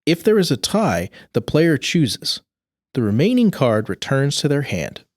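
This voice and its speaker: A man's voice: medium pitch, big pitch swings, a little slow, no echo, clean studio sound. A man reading scripted rules aloud in a precise, instructional manner. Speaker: co-host reading game rules. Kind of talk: scripted rulebook narration.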